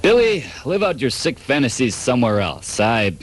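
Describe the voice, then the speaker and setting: A man playing a pompous, dim-witted, short-tempered private detective in a comedic radio drama, melodramatic, shifting between deadpan narration and ranting. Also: medium pitch, moderate pace, a little hiss or hum, close to an everyday voice. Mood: deadpan, smug.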